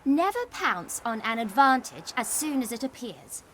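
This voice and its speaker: A woman's voice: on the high side, normal speed, a little hiss or hum. An actress playing a spirited, cheeky young female witcher trainee. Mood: clever. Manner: cheeky, lively, playful.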